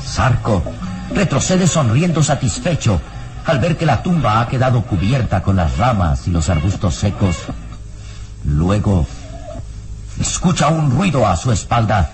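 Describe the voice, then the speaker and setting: A man's voice: lowish voice, big pitch swings, unhurried. A man playing a solemn radio serial narrator, declamatory and suspenseful, with scripted dramatic delivery. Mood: ominous, suspenseful. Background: dramatic music.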